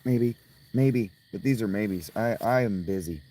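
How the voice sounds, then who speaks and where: Hoarse low-voiced man chatting at night. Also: measured pace, dark tone. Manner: rambling, profane, restarts often. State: hoarse, strained voice. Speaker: livestreamer. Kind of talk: casual livestream chat with viewers. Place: outdoors at night by a fountain.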